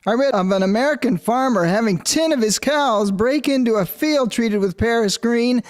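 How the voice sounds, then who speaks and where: A man's voice: very high-pitched, moderate pace. A man playing a worried, questioning potato farmer in an amateur historical drama. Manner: questioning, concerned.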